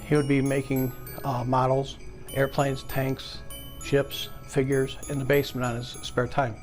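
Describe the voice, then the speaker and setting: A man's voice: mid-range voice, monotone, a little slow, faint music behind. A man in his fifties casually reminiscing as an interviewee. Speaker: interviewee, collector's son.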